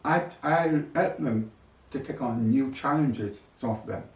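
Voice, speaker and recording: A man's voice: medium pitch, unhurried. An older man being interviewed, talking reflectively about his experiences in his own words. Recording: echoey, distant mic, faint noise.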